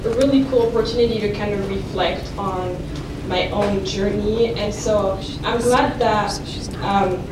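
A young woman from France, a native French speaker, talks through her lecture in a loose, self-deprecating way, full of ums and restarts. She is heard from across a roomy lecture hall, and the recording has clear background noise. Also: medium pitch, steady tone, a little slow, hardly any pauses. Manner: chatty and self-deprecating, many fillers and restarts. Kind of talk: informal lecture at a podium. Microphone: podium microphone heard from a distance. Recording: echoey room from far off, noisy.

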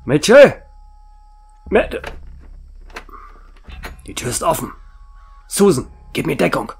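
An actor as a gruff, commanding male sheriff in a horror radio play. He is brusque and very animated in pitch, over a clearly noisy background. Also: slow pace, full character voice. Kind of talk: German horror audio drama acting.

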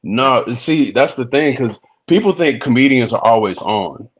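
A man from Texas, a comedian, chatting in a relaxed video-call interview, laughing easily and repeating words as he starts his sentences. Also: medium pitch, measured pace, slightly rough. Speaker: comedian and reality show guest. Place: indoor room.

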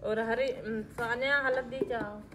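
A woman's voice: on the high side, fairly even intonation, measured pace, over music. A middle-aged woman, explaining a recipe step by step in a homely, matter-of-fact way as she cooks. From Pakistan. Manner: homely, matter-of-fact instructions with frequent fillers. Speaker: village cooking vlogger. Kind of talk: step-by-step cooking narration. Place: outdoor courtyard with brick walls.

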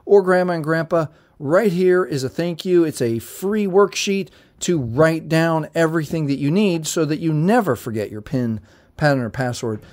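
Man, tech tutorial host, slightly high voice, direct step-by-step delivery, indoor tabletop. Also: normal speed, darkish tone. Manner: direct, repetitive, talks viewer through steps. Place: indoors at a table, phone held to camera.

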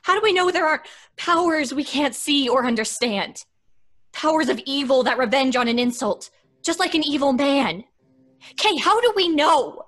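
A woman's voice: sits high, expressive, normal speed, fairly bright tone. A woman playing an earnest, fearful young woman in an old-time radio drama, speaking with dramatic insistence. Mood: intense, insistent.

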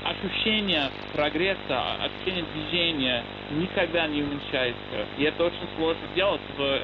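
A young man chats as a guest in an interview. His voice sits fairly high for a man. The sound is dull shortwave radio, thick with hiss. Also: moderate pace. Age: young adult. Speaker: interview guest, literary translator. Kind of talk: conversational interview.

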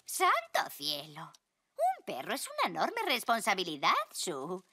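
A woman voicing a caring, cautious grandmother zebra in a children's cartoon dub, expressive and kindly admonishing. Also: mid-range voice, unhurried, slight background noise, heavily acted. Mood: concerned. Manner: expressive, admonishing yet kind.

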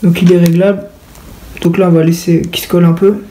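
A man's voice: sits high, flat delivery, fairly quick, mellow, a little hiss or hum, poor audio. A young man giving a casual product tutorial, informal and talking straight to the viewers. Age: young adult. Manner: informal, addressing viewers directly. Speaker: video creator and presenter. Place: indoors in a home room.

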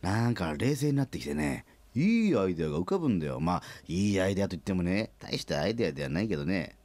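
A man's voice: sits low, sing-song, quick pace, darkish tone, dry recording, voice pushed down. A man playing a rambling, jovial middle-aged small-factory president who loves his own puns, in a comic radio drama.

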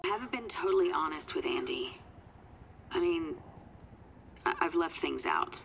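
An actress playing a wry, secretive small-town psychic in a video game, with a hushed, confiding manner. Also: female voice, low-pitched, lively intonation, moderate pace, gravelly, faint music behind, full character voice, poor audio. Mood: uneasy, confiding.

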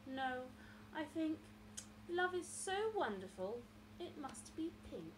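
A woman's voice: sits high, big pitch swings, takes it slowly, voice pushed up, full character voice. A woman voicing a thoughtful, dreamy but contrary zebra in a storybook, in a noisy room recording. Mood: dreamy, certain.

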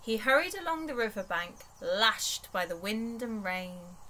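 A young woman reading a rhyming picture book aloud to small children in an expressive storytelling manner. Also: mid-range voice, measured pace. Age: young adult. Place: small bedroom, indoors.

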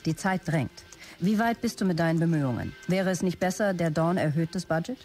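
An actor as a brisk, demanding woman executive. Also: lowish voice, darkish tone, soft background music, natural voice. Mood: urgent. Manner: clipped, businesslike, commanding. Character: brisk, demanding chief operating officer dictating messages. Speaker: actor in an audio drama. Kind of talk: radio play dialogue, dictated office messages.

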